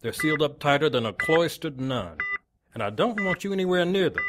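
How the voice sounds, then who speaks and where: An actor playing a stern, exasperated police lieutenant questioning people in a noir audio drama. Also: male voice, on the high side, big pitch swings, fairly quick, background noise.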